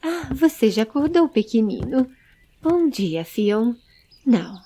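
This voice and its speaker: Uma mulher interpretando uma senhora calma e cuidadosa, que fala sem alarde e tranquiliza, numa história em áudio. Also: female voice, a little high, slow, a little hiss or hum. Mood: distraída. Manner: unalarmed, reassuring. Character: calm, caring lady of the treehouse.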